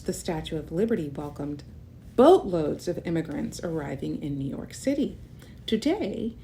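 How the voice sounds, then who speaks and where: Female children's read-aloud host: very lively pitch, soft-edged mellow tone, expressive storytelling with chatty asides, slight rumbling noise. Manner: expressive, explains and points things out for children.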